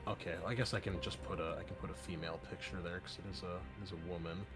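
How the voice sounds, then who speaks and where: A man's voice: deep voice, fairly even intonation. Casual male game master over music. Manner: casual, conversational, halting narration. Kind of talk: narrating a tabletop roleplaying game.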